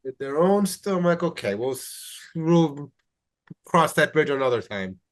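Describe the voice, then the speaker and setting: A man's voice: a little high, lively intonation, moderate pace. A congested man, a journalist guest, earnestly explaining his work in a casual interview. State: congested from allergies.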